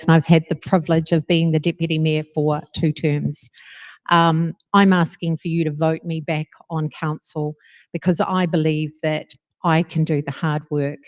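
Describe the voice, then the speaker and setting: A woman's voice: sits low, a little mellow, no echo. A woman who is deputy mayor giving a confident, polished campaign closing statement. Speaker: deputy mayor and council candidate.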